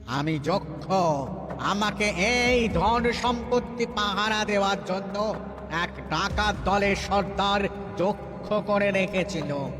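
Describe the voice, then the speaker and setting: A solemn guardian spirit of a cartoon treasure cave, speaking in slow, grave pronouncements. The voice sits high and rings in long reverb over music. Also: male voice. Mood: solemn, grateful. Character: solemn male yaksha spirit freed after guarding treasure. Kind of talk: cartoon voice acting.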